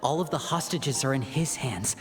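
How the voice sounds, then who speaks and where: A woman's voice: very deep voice, animated, moderate pace. Defiant young male magician, reverberant. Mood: wary musing. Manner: intense, accusing, suspicious. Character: earnest, defiant young male magician protecting his siblings. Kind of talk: anime game voice acting.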